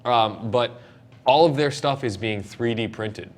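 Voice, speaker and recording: A man hosting a casual panel chat about games and movies, speaking in an animated, opinionated, emphatic way. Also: medium pitch, a little slow. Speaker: show host. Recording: clear background noise.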